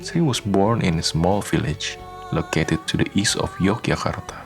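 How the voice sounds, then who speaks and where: A man narrating a scripted radio drama as a solemn, deliberate storyteller. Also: deep voice, vocal fry. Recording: voice over prominent music. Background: music.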